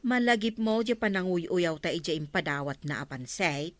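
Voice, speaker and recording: A woman's voice: fairly low pitch, normal speed, no room sound, lightly acted. A woman with an Ilocano Filipino accent playing a fussy village woman in a radio comedy drama. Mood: concerned.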